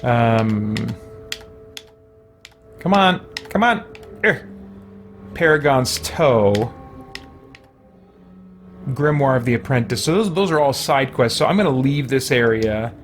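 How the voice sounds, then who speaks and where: A man's voice: medium pitch, animated, slow pace, long pauses, soft background music. A man giving casual gameplay commentary as a streamer, musing to himself as he goes. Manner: thinking aloud, musing to himself. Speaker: game streamer.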